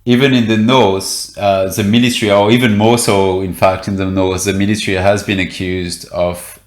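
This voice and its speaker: Low-voiced man, hesitant, echoey room. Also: flat delivery, moderate pace. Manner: hesitant, many fillers and restarts. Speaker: reporter guest. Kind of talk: interview conversation.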